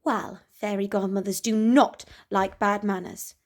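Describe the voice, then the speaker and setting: A woman's voice: mid-range voice, fairly even intonation, a little slow, lightly acted. A woman voicing a prim, stern fairy godmother in a storybook.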